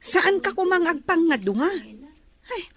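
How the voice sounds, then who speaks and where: A woman's voice: extremely high pitch, sing-song, moderate pace, a bit bright, exaggerated voice. A woman playing a frank, nosy young best friend in an audio drama.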